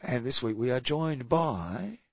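A man co-hosting a podcast, adding short lively remarks. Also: medium pitch, a little slow, vocal fry, breathy edge, no echo. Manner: lively, brief interjections. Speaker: podcast co-host. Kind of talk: casual podcast chat. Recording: dry, slightly dull sound.

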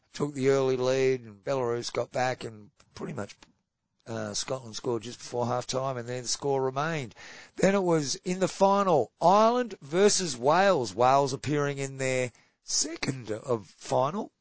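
A man co-hosting a hockey podcast, running through match results in casual chat with a slightly croaky voice. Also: mid-range voice, no echo, highs cut off. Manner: brisk results rundown, trailing off quietly. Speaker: podcast co-host.

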